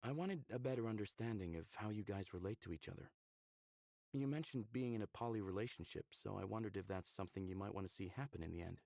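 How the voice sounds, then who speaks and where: Visual novel human lead, candid and curious, casual flirty delivery, lowish gravelly voice, very dry sound. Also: male voice, fast, dark tone, dry recording.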